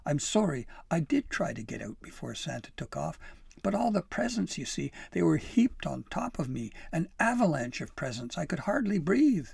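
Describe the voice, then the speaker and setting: A man's voice: mid-range voice, moderate pace, gravelly, darkish tone, slightly rough, no echo, clean studio sound, exaggerated voice. A man voicing an earnest, timid young male elf in a storybook, sputtering and apologetic as he pleads. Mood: flustered pleading. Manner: sputtering, pleading, self-doubting. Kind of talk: acted storybook dialogue.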